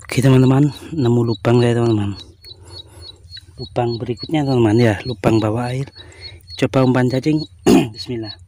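Mellow-voiced man fishing outdoors. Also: mid-range voice, fairly even intonation, normal speed, pauses often, a little hiss or hum. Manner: talks to viewers, frequent exclamations. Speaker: fishing vlogger. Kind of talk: casual live commentary while fishing. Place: outdoors by muddy rice field water. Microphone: body-worn action camera.

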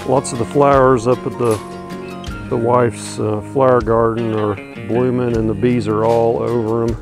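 A man talks casually and folksily as he works his beehives, thinking aloud between fillers. His voice sits at an ordinary middle pitch. Clear music and buzzing bees fill the background of this outdoor recording. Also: level tone, moderate pace. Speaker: beekeeper vlogger. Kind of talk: casual narration while working. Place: outdoors in a wooded bee yard.

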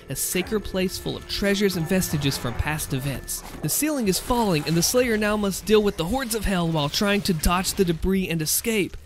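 A man hosting a gaming video, reading and commenting in a deliberate, theatrical narrator style. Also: high-pitched, a little hiss or hum.

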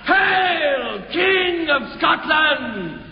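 A righteous, vengeful nobleman warrior declaims in grand Shakespearean radio manner. The speech is slow and theatrical, in a long reverb on a muffled 1950s recording. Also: extremely high pitch, very animated, a crowd in the background, heavily acted. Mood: triumphant. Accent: British. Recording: dull reverberant 1950s radio, loud music behind.

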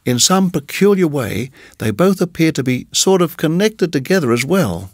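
A man's voice: a little high, sing-song, moderate pace, no room sound, studio quality, voice pushed up. A man voicing a polite young male lodger in an audiobook, musing and earnest, a little hesitant. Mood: earnest, searching. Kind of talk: audiobook character voice.

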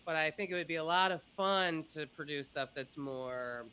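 Woman podcast producer on a video call, bright punchy voice, chatty and informal. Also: low-pitched, moderate pace, clear, resonant tone, low-quality recording. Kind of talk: casual panel chat. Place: indoors at home, on a video call.

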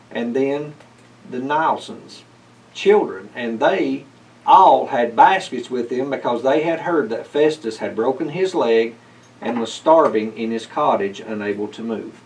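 A man's voice: mid-range voice, a little mellow. A middle-aged man reading a children's story aloud as a narrator. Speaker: storybook reader. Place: indoors, library with bookshelves. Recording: slightly distant mic, faint room noise.

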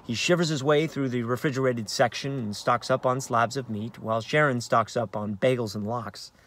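A man in his thirties with an American accent, an actor reading a play as conversational, halting narration with uneven emphasis, recorded inside a car. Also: mid-range voice, fairly bright tone. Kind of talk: dramatic narration of a play read on book. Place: inside a parked car in daylight.

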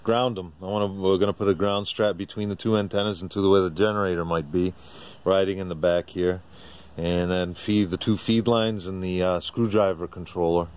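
A man narrating a casual, unscripted walkaround video, speaking in a halting, plain-spoken, colloquial way. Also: sits low, fairly even intonation, a little mellow, clean tone. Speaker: amateur radio hobbyist narrating own video. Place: outdoors in a residential driveway. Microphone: handheld camera or phone, operator off screen. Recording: outdoor ambient noise, birdsong. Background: birds and outdoor ambience.

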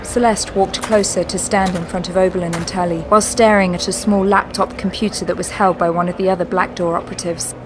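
A woman's voice: on the low side, fairly even intonation, brisk, few pauses, natural voice. A woman delivering scripted narration for an audio drama. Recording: clear ambient noise behind.

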